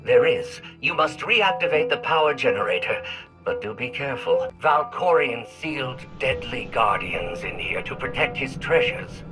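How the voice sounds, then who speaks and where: An actor playing a calm, formal guide in a video game, with a precise, measured way of talking. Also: male voice, medium pitch, music in the background, lightly acted, poor audio. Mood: cautioning. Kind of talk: video game cutscene acting.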